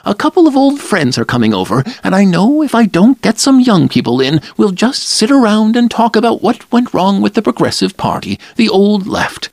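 Guy doing a fussy older mom voice, high and fluttery, chatty, gravelly underneath. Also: sing-song, normal speed, few pauses, dry recording, exaggerated voice. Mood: coaxing. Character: anxious chatty older mother, fussing.

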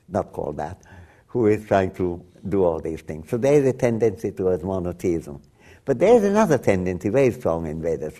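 An older man, a native Bengali speaker from Bangladesh, talking reflectively as an interview guest, often restarting and laughing softly. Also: sits low. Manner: rambling with frequent restarts and soft laughs. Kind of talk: reflective conversation.

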